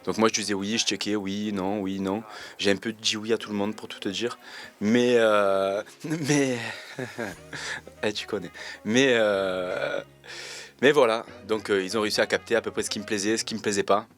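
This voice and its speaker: Twenties dude, lowish voice, chill slangy talk full of uh's, faint music behind. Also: male voice, normal speed. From Marseille, France. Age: 20s. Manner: relaxed, slangy, hesitant with many fillers. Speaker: reality TV contestant interviewed. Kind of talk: casual interview answers.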